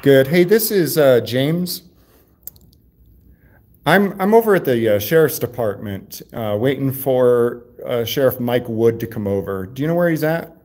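A man chatting casually and loudly on a livestream while he waits in an indoor lobby. He rambles and restarts often, with plenty of "uh"s, and his voice sits at an ordinary middle pitch. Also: animated. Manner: rambling, halting, many fillers and restarts; loud voice, by his own account. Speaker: livestreaming channel host. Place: sheriff's office lobby, indoors.

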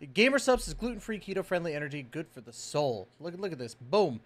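A man in his thirties giving excited, slangy, casual reaction commentary as a streamer, close on the mic. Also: on the high side, fairly quick. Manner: animated, exclamatory, slangy. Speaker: reaction video host, streamer. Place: small indoor home room with shelves. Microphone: close microphone on boom arm.

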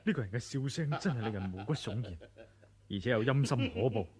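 A man's voice: fairly low pitch, fast, a crowd in the background, lightly acted. A male actor playing an earnest, wary young martial arts hero who thinks aloud in a radio drama. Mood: uneasy, wary. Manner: earnest, dramatic self-questioning narration of thoughts.

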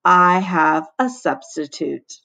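A woman voicing a courteous, eccentric male schoolteacher in a storybook, measured and politely deadpan. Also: lowish voice, vocal fry, exaggerated voice. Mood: calm, deadpan.

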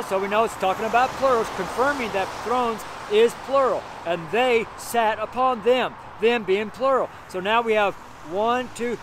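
A man's voice: sits high, a bit bright. Emphatic street preacher man by traffic. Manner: emphatic, repetitive, keeps asking "right?"; reads scripture slowly and deliberately. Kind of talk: outdoor street sermon, verse by verse. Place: outdoors beside a busy road in snow. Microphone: camera facing him at medium distance. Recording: outdoor traffic noise.

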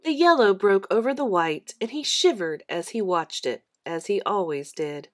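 A woman reads a children's story aloud as narrator. Her mid-pitched voice moves expressively up and down through the sentences. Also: expressive, normal speed.

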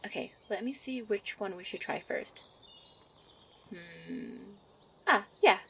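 A woman's voice: medium pitch, expressive, slow pace, vocal fry, slight background noise. A woman as a shy, easily flustered fairy. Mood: gentle, instructive. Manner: soft, coy, easily flustered. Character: shy, sweet young female fairy, flustered, teasing lover. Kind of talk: ASMR roleplay. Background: birdsong and outdoor ambience.

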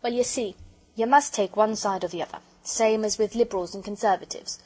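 A woman playing a huffy English railway porter, husky. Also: medium pitch, fairly quick, a little mellow, natural voice, low-quality recording. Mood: opinionated. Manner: indignant, colloquial, ungrammatical speech with dropped aitches. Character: proud, huffy working-class male railway porter, sulky and opinionated.

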